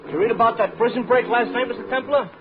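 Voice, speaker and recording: An actor playing a naive, good-natured, talkative cab driver who muddles big words in a slangy, folksy way in a radio drama. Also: male voice, very high voice, fast talker. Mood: chatty, earnest. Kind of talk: old-time radio comedy-drama acting. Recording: narrow old radio recording, faint hiss.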